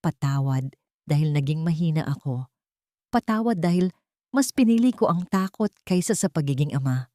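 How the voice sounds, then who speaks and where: A woman voicing an apologetic, frightened adult man who begs forgiveness in a halting way, in an audio romance drama. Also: deep voice, animated, warm tone, no echo, studio quality. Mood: remorseful.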